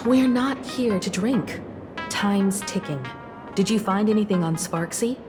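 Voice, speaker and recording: A woman's voice: medium pitch, slow, lightly acted. A woman playing a serious, impatient young investigator in a video game, curt and businesslike. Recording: background music behind the voice.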